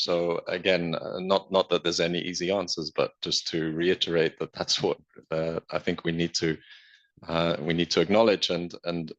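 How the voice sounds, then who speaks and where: A man speaking in a meeting discussion, measured and reflective, with many pauses and fillers. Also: low voice, fairly bright tone. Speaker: panelist.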